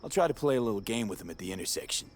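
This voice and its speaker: A man voicing a terse, low-voiced mercenary. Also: lively intonation, racing, faint music behind, close to an everyday voice. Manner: terse, laconic replies. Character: laconic male mercenary, calm and cool. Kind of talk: video game dialogue.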